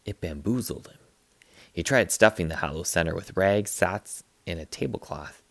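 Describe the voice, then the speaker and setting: Male storyteller, low creaky voice, animated picture book read-aloud, playful delivery. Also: normal speed, no echo. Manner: expressive, playful, acts out sound effects. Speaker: teacher reading aloud to students.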